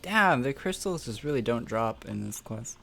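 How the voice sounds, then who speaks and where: A man's voice: mid-range voice, animated, normal speed, creaky, bright, forceful tone, soft background music. A young man casually chatting on a game stream, answering viewer questions in a laid-back, rambling way and laughing often.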